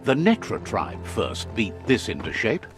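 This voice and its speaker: A man with a low voice tells the game's story like a storybook, his pitch rising and falling all over. He sounds wry as he guides the player. Music plays under him. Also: huge pitch swings, a little slow. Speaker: game narrator. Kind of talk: scripted storybook-style game narration. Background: game music.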